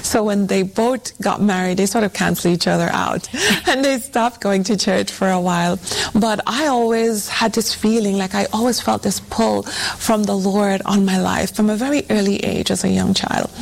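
A woman's voice: medium pitch, creaky, low-quality recording. A woman from Trinidad, telling her personal story conversationally as an interview guest. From Trinidad and Tobago. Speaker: guest, church women's ministry coordinator.

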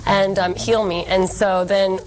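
A woman in her thirties, a speech therapist, speaking as a guest in a casual interview and telling stories in an animated, heartfelt way. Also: mid-range voice, a little slow, slight background noise, poor audio.

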